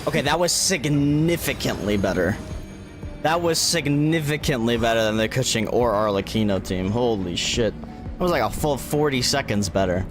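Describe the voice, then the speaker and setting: A man's voice: mid-range voice, animated, normal speed. A man livestreaming a video game with casual, sweary commentary and informal gamer slang. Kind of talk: casual live gameplay commentary. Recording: game music behind the voice. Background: video game music.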